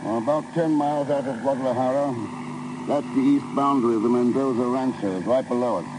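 An actor playing a cool, commanding secret agent in a 1950s radio drama, speaking in a dry, clipped, self-assured way. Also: male voice, medium pitch, a little fast, close to an everyday voice. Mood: brisk, wry. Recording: noisy vintage 1950s radio recording.

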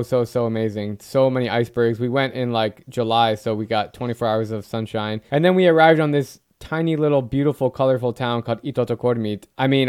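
Enthusiastic man with a smooth, clear voice. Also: medium pitch, darkish tone. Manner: enthusiastic, rambling. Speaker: podcast host. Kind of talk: casual interview chat.

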